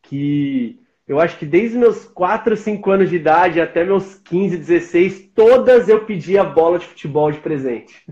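A man in his forties from São Paulo, with a São Paulo accent, chatting in a relaxed, slangy way as a guest on a live-stream video call. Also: high voice, unhurried, lo-fi sound. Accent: São Paulo Brazilian Portuguese. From São Paulo, Brazil. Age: 40s. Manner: slangy, laid-back, chummy. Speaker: interview guest. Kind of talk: casual live-stream interview.

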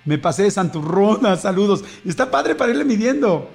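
Jokey high-voiced Mexican radio host. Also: male voice, very animated, gravelly, darkish tone. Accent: Mexican Spanish. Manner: jokey, laughs often, rattles off messages fast. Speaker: radio show host reading listener messages. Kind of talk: casual morning radio banter.

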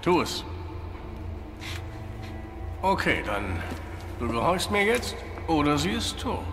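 A menacing villain played by a man with a fairly high male voice, domineering and mocking. He gives orders like someone used to power, in a radio play with long reverb and music behind him. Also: very animated, slow, exaggerated voice. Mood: threatening command. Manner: commanding, threatening, mocking. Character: menacing, domineering corrupt male authority figure. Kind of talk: audio drama villain acting.

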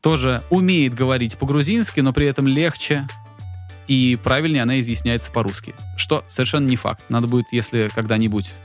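Male podcast co-host, mid-pitched, loose rambling anecdotes with fillers. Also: fairly quick, faint music behind. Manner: rambling storytelling with many fillers. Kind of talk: casual chat. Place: Tbilisi.

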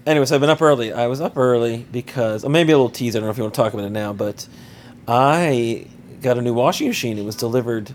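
A man co-hosting a casual podcast, his voice hoarse from a recent cold. He teases his friend in a dry tone and trips over his own words as he goes. There is a faint hiss behind him. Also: medium pitch, quick pace. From Maryland. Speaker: podcast co-host.

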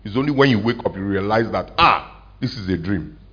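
A man, a pastor preaching a conversational sermon to his congregation, often asking them questions and slowing down to stress a point. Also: on the low side, expressive, muffled recording. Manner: conversational, asks the congregation questions, slows for emphasis. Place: church hall with congregation.

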